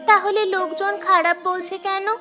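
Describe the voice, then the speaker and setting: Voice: very high-pitched, flat delivery, normal speed, over music. A performer voicing a trusting little village girl in a cartoon, childlike and plaintive. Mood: sad, plaintive. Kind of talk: cartoon voice acting.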